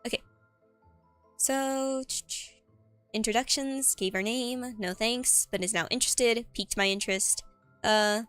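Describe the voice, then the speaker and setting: High-voiced woman streamer thinking aloud, slightly cracky. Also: a bit bright, clear, resonant tone, dry recording. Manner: halting, thinking aloud, repeats words while typing. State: voice a bit cracky. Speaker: streamer and writer. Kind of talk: casual livestream chat while writing a script aloud. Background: background music.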